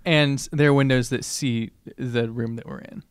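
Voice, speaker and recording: A young man chatting casually as a tabletop game player, halting, joking and blunt. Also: mid-range voice, fairly even intonation, clean tone, no room sound, studio quality. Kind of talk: casual role-play chat. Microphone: tabletop microphone, close.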